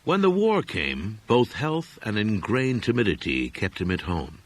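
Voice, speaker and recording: A man reading a literary horror story as an audiobook narrator, in a formal, measured storytelling manner. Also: on the low side, sing-song. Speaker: audiobook reader.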